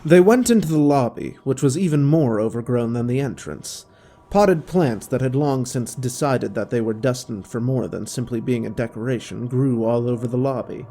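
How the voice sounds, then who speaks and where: A man's voice: medium pitch, animated, normal speed, warm tone. A man reading scripted story narration for an audio drama, with music underneath.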